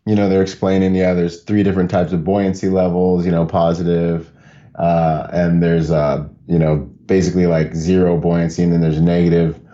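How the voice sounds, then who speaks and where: A man's voice: sits low, little pitch movement, moderate pace, mellow, lo-fi sound. A man from the Bahamas, a podcast guest telling stories casually, laid-back and laughing often. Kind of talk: casual conversational storytelling.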